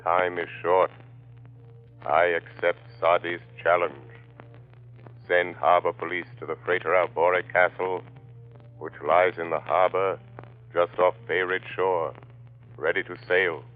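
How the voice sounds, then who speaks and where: An actor playing a mysterious invisible crime-fighter, calm and commanding, in 1940s radio style. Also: male voice, sits low. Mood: urgent, commanding. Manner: slow, commanding, taunting. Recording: tinny, band-limited old radio recording.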